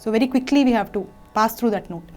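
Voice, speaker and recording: A young woman, teaching a singing lesson, gently and patiently explaining phrases between short sung examples. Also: on the high side, racing, soft background music.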